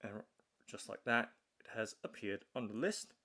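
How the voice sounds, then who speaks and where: A man presenting a hands-on gadget unboxing in a measured, matter-of-fact, step-by-step way. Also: on the low side, bright, forceful tone. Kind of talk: hands-on product demonstration narration.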